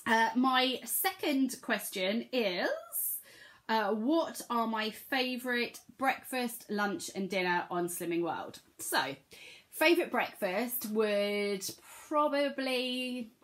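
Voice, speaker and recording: Woman vlogger, croaky from a cold, chatty, rambling, lots of ums. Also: a little high, takes it slowly, a bit bright. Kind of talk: casual chat to camera.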